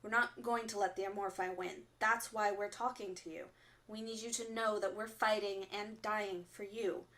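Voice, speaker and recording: A woman playing an earnest, stern resistance fighter who delivers solemn, deliberate warnings in a radio drama broadcast. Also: mid-range voice, fairly even intonation, fairly bright tone, natural voice. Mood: solemn, urgent. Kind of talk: audio drama acting as underground radio broadcast.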